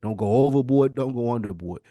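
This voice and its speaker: A man's voice: mid-range voice, fast, mellow, no room sound. Man, American accent, mid-range voice, blunt, emphatic, repetitive phrasing, casual podcast debate, close dry sound. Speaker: podcast co-host. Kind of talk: casual argumentative podcast chat.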